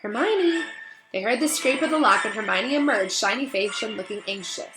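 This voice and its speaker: A woman reading a children's novel aloud in expressive storybook narration. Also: mid-range voice, brisk. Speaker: storybook reader. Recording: roomy echo, faint music behind.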